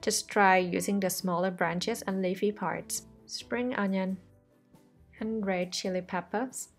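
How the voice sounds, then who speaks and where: A woman's voice: medium pitch, fairly even intonation, slow pace, soft background music. A woman, the host of a cooking channel, talking through a recipe step by step in a friendly, reassuring voice-over. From Thailand.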